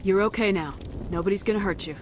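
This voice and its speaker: An actress playing a firm space soldier in a video game, speaking directly and with authority. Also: female voice, mid-range voice, quick pace, darkish tone, a little hiss or hum, close to an everyday voice. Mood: reassuring. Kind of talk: dramatic video game acting.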